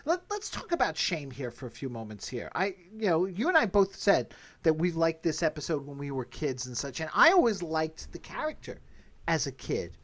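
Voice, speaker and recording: A man's voice: fairly high pitch, normal speed. A middle-aged man hosting a podcast in a casual, rambling discussion style. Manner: animated, rambling. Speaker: podcast host.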